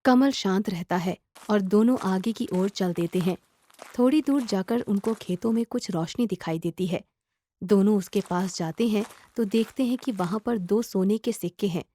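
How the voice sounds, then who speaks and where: A woman's voice: medium pitch, dark tone. A woman storyteller reading a scripted moral folk tale. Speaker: story narrator.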